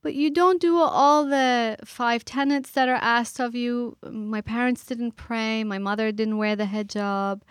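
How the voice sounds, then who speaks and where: A middle-aged woman from Iran, a guest speaking earnestly and thoughtfully with pauses and fillers. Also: on the high side, steady tone, clean tone, no room sound, studio quality. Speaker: author and guest. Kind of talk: reflective interview talk.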